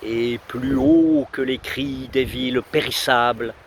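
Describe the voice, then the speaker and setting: A man recites poetry in a solemn, declamatory manner with dramatic pauses, outdoors by the sea. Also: steady tone. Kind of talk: outdoor recitation of a 19th-century poem. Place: outdoors under trees on a windy seashore. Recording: loud wind buffeting the mic, roaring sea. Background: wind and rumbling sea.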